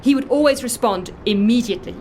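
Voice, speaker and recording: A woman's voice: a little high, quick pace, darkish tone, a little hiss or hum, natural voice. An actress playing an anxious, self-justifying woman in an audio drama, telling her story in a fussy, emphatic, defensive way. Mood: harried, defensive. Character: anxious, self-justifying woman confessing impersonation, earnest dog lover. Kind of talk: audio drama dialogue, first-person confession.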